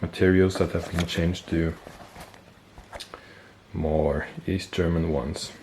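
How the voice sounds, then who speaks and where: A man with a stuffy nose, casually explaining military gear on camera, relaxed and thinking aloud with fillers and self-corrections. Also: deep voice, slow pace, slight background noise. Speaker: military gear collector and video host. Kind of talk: casual show-and-tell explanation.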